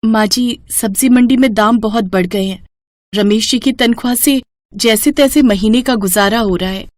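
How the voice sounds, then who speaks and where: A dutiful young daughter-in-law, acted by a woman in a cartoon moral tale, high in pitch. She speaks respectfully and pleadingly to her elders. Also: normal speed, lightly acted. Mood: pleading, weary.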